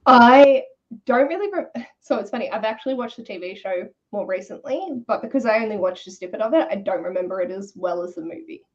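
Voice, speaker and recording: A woman's voice: medium pitch, animated, brisk. A woman with a mid-range voice talks casually as a co-host in an online book chat. Her manner is candid and slangy. The audio is slightly dull and lo-fi, like a video call.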